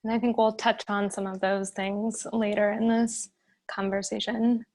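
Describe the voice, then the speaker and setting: Even-toned woman moderating, dry close sound. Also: medium pitch, a little slow, no echo. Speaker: panel moderator. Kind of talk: moderating an online panel discussion.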